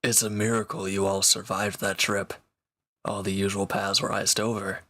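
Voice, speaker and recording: A man's voice: lowish voice, flat delivery, moderate pace, bright, forceful tone. A man playing a sardonic, teasing reformed villain in an intimate one-sided roleplay audio drama, with dry, casual delivery. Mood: dry, matter-of-fact.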